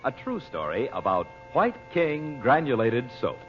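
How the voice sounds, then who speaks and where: A man's voice: mid-range voice, sing-song, unhurried. Man, 1940s radio announcer, very varied intonation, sponsor read, muffled vintage sound, music underneath. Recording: muffled old radio recording.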